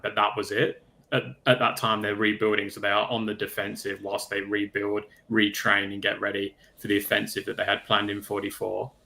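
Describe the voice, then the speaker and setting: A man's voice: fairly low pitch, gravelly, bright, forceful tone. A man talking casually in a livestream panel discussion, going into detail in a pedantic way. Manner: detailed, self-confessedly pedantic.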